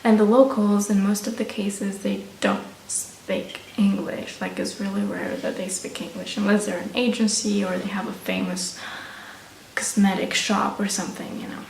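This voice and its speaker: A woman's voice: medium pitch, little pitch movement, normal speed, a little mellow. Echoey young Romanian woman vlogger. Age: young adult. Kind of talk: casual chat to camera. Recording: roomy echo, distant mic, faint noise.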